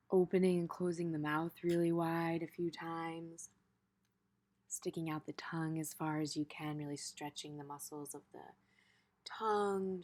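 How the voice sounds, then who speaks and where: A woman teaching a gentle guided meditation in an informal, tentative way, pausing in the middle of her phrases. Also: lowish voice.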